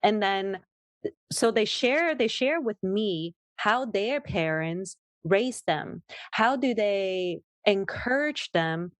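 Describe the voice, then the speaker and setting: A woman's voice: mid-range voice, expressive, slow, no room sound. A woman whose first language is Cantonese, speaking English as a podcast guest, thoughtful and searching for words. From Hong Kong. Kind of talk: casual podcast conversation.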